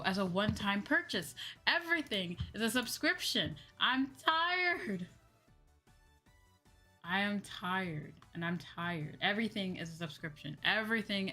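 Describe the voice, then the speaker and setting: A woman's voice: mid-range voice, bright, forceful tone. A woman livestreamer chatting casually and ranting in a dramatic, exasperated way, repeating phrases for emphasis. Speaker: livestreaming VTuber host.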